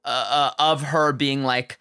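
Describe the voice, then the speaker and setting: A young man hosting a podcast, chatting in an animated, opinionated way with frequent restarts. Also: medium pitch, measured pace, clean tone, no room sound, studio quality. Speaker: podcast host. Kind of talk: casual chat.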